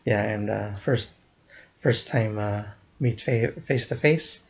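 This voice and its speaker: A man's voice: on the low side, slow, vocal fry. A young man casually recounting a fan event in a vlog, rambling with many fillers and restarts, often chuckling. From United States.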